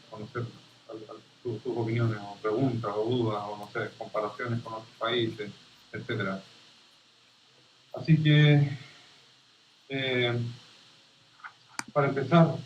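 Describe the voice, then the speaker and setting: Halting Chilean man on a roomy call. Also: mid-range voice, fairly bright tone, slight background noise. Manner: halting, often restarting phrases. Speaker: statistics specialist giving a guest lecture. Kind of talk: informal lecture over videoconference. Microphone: video call. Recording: roomy, dull video-call audio.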